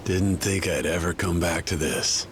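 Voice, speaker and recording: An actor playing a gruff, weary, self-deprecating father who runs a bar, in a video game. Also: low voice, noisy background, full character voice. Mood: wistful. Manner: weary, sighing, reluctant.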